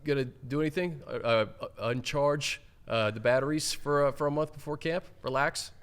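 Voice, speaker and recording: A man's voice: a little high, moderate pace, smooth. A man hosting a sports show, casually putting questions to his guest. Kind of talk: casual interview chat.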